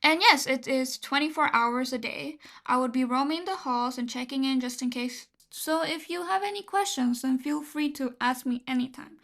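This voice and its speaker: A woman's voice: sits high, moderate pace, few pauses, a bit bright. A woman playing a fussy, easily irritated manor secretary, in a radio drama. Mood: polite, welcoming. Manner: polite but easily irritated, slips into Spanish. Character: fussy, exasperated female manor secretary, bilingual, quick to scold. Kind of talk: radio drama acting, recorded-diary monologue. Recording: noisy cassette-style recording with clicks.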